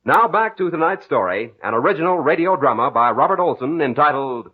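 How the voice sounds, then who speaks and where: A male announcer from vintage radio with a husky voice and very lively intonation. He delivers sponsor copy formally and emphatically. The recording is thin and phone-like. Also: fairly high pitch, brisk. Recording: tinny, lo-fi old radio recording.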